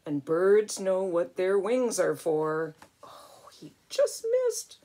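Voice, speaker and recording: Older woman, unhurried storybook reader, slightly husky, expressive, with chatty asides for a small child. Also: lowish voice. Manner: expressive, exclaiming, talking to a young child. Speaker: grandmother reading a picture book.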